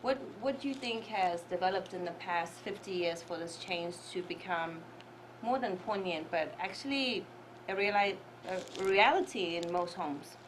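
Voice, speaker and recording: A woman's voice: lowish voice, normal speed. A woman hosting an interview show, asking long, formal questions politely. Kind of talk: hosted interview. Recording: recording with clear background noise.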